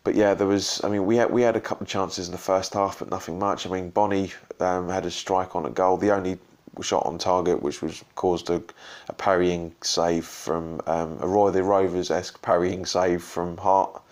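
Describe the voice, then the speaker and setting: Casual fan vlogger, male, very low voice, hoarse from shouting the night before, rambling delivery. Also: flat delivery, normal speed. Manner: rambling, full of ums and restarts. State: slightly croaky from shouting and drinks. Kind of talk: casual post-match reaction vlog.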